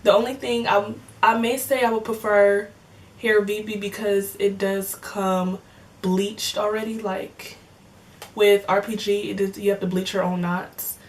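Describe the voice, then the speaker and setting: A woman's voice: mid-range voice, level tone, a little slow, distant mic. A woman of twenty in casual chat, answering viewer comments in a joking, slangy way and laughing often. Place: home filming setup with cameras and lights.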